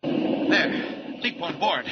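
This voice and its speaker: An actor playing a confident, determined superhero in a 1940s radio drama, thinking aloud in brisk, clipped phrases. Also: male voice, a little high, lively intonation. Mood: determined, urgent. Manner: clipped phrases, resolute self-talk. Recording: muffled, very noisy 1940 radio recording.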